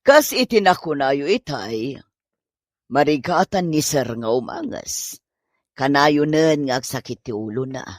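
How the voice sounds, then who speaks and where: Man as slow-talking, expressive village doctor. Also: on the high side, no echo, clean studio sound. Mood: advising. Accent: Ilocano. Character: patient, plain-speaking village doctor. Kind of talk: Ilocano comedy drama acting.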